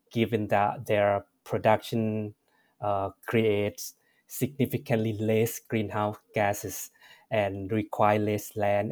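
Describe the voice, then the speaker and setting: A man from Thailand with a Thai accent, answering interview questions as a researcher, pausing often with fillers and correcting himself. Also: lowish voice, darkish tone. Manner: measured, frequent "uh" fillers and self-corrections. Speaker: researcher guest.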